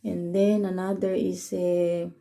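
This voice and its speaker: A woman with a Filipino accent, Tagalog her first language, talking to her vlog audience in plain, simple English. Her voice sits a little low and sounds soft and mellow. She goes slowly, stopping to restart her sentences and filling gaps with "uh.". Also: level tone, gravelly. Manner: halting, many fillers and restarts, simple explanatory English. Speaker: caregiver and vlogger. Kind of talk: casual update vlog. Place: home in northern Israel.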